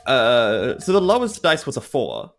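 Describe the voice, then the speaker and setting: A man's voice: medium pitch, wildly swooping pitch, unhurried, vocal fry, a bit bright, soft background music. A man, chatting casually as a player in a tabletop game. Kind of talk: casual chat during a game.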